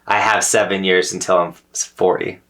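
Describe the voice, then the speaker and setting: A man's voice: low-pitched, level tone, normal speed, a bit bright. A man in his thirties co-hosting a podcast, talking in a casual, earnest and reflective way, sometimes losing his train of thought. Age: 30s. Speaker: podcast co-host. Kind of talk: casual chat.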